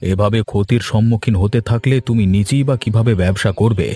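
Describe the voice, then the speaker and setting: A man voicing a paternal village landlord in a fairy-tale cartoon, with a measured, patronly manner. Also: lowish voice, warm tone, slightly rough. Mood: concerned. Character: paternal village landlord, encouraging, quietly self-interested.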